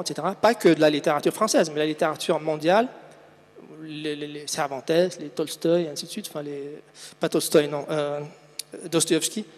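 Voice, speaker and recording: Tunisian-born guy author on a book panel, kinda high voice, hesitant and repeats himself, big echoey hall. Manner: hesitant, halting, repeating words. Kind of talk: spontaneous answers at a public book panel. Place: large hall at a book fair. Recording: echoing hall, long reverb.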